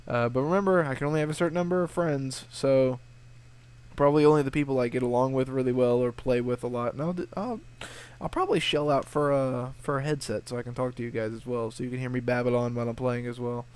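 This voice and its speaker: A young man answers viewer questions in a loose, rambling, laid-back manner, swearing often and restarting his sentences. His voice sits at an ordinary middle pitch and sounds a bit sniffly, as if he has a cold and a sore throat. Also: quick pace, darkish tone, clear, resonant tone. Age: young adult. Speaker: video game walkthrough channel host.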